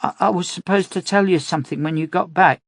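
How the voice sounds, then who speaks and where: A male narrator voicing an earnest, stubborn young girl in a storybook reading, pitched higher and pleading. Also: fast talker, vocal fry, no echo, studio quality.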